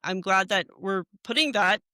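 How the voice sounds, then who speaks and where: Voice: medium pitch, normal speed, bright, forceful tone, dry recording, clean studio sound. A podcast host asking follow-up questions with eager enthusiasm and many fillers. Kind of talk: podcast interview.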